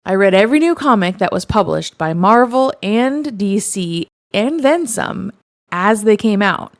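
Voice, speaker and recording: A woman's voice: mid-range voice, expressive, measured pace, dry recording, studio quality. A woman narrating first-person stories in a wry, matter-of-fact way. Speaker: narrator reading online stories.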